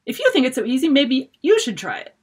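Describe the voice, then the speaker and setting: A woman's voice: sits high, very animated, quick pace, darkish tone, slightly rough, pitched above the performer's own voice, full character voice. A woman voicing a proud, frustrated young apprentice mage in a storybook, speaking with indignant urgency.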